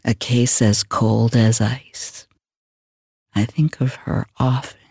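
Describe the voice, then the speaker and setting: An actor playing an older, world-weary retired homicide detective, brooding through a noir radio theater monologue. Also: male voice, medium pitch, level tone, creaky, darkish tone, slightly rough, no room sound, full character voice. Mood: brooding, wistful. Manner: brooding, deliberate noir storytelling.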